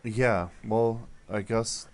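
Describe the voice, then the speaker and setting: A man's voice: on the low side, steady tone, extremely slow, a little mellow. A young man making a prank phone call, talking hesitantly and awkwardly with lots of fillers. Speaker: prank caller.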